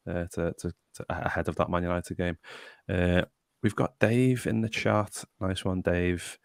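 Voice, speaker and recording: Deep gravelly Liverpudlian man. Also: no room sound, clean studio sound. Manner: chatty, reads out viewer comments. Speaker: podcast host. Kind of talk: casual podcast chat.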